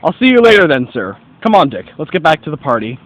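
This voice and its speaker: A man playing a brisk, formal, self-assured private detective in a radio mystery. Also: fairly high pitch, big pitch swings, no echo, low-quality recording. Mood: polite, brisk. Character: brisk, observant American gentleman private detective. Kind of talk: radio mystery play acting.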